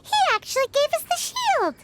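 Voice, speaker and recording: Brief: squeaky, excitable fairy companion with a bouncy delivery. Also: female voice, normal speed, faint music behind, full character voice. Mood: chirpy. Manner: squeaky, excitable, speaks of herself by name. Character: tiny chirpy female fairy companion, bossy and excitable. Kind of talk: video game voice acting.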